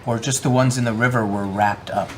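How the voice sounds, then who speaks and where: A man's voice: medium pitch, steady tone, a little fast, slight background noise. A man playing a tabletop game, speaking casually in character.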